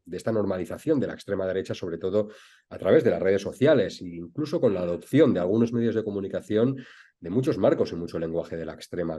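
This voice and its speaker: A man interviewing a guest, putting long formal questions in a measured way. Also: deep voice, mellow.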